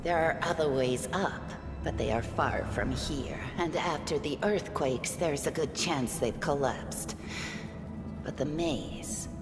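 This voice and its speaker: A solemn tribeswoman from a fantasy game, telling old legends in a very low, grave voice. She speaks very slowly, in a long cavernous echo with music behind her. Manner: grave, measured storytelling. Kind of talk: fantasy video game acting. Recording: cavernous reverb under loud music.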